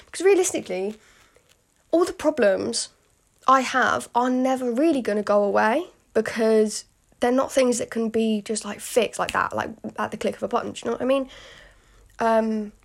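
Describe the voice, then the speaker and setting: A woman's voice: on the high side, moderate pace, gravelly. A British woman in her late teens talks candidly in a casual solo podcast, rambling with lots of fillers and asides to the listener. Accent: British English. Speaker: podcast host.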